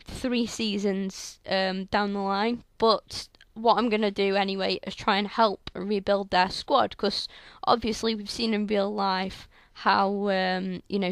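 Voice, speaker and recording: A young woman with a sore, croaky throat, chatting through video game commentary on a headset mic in a rambling way full of fillers. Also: mid-range voice, fairly bright tone, no room sound. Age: young adult. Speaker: gaming channel host. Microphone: headset microphone.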